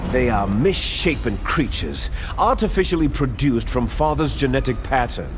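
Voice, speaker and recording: An actor playing a cold, scheming male villain who lectures slowly and menacingly in a video game cutscene. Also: medium pitch, lively intonation, slight background noise, lightly acted. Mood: lecturing. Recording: game audio with music behind.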